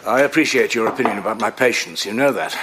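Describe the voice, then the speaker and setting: An actor playing an earnest, brooding middle-aged doctor in an audio drama, speaking in a measured, reflective way. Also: male voice, lowish voice, noisy background, close to an everyday voice. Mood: guarded, weary.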